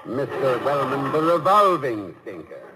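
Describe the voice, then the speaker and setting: An actor playing a witty, slightly anxious college president in a 1950s radio comedy-drama, with formal, hesitant, dryly humorous speech. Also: male voice, mid-range voice, very animated, measured pace. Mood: dryly joking. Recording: noisy vintage 1950s radio broadcast.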